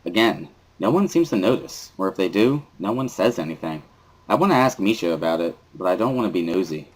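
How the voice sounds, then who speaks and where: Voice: low voice, animated, a little fast, slightly rough, poor audio. A reader playing a wary teenage schoolboy who narrates his own story in a dry, mildly sardonic way, as a visual novel dramatic reading. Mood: reflective.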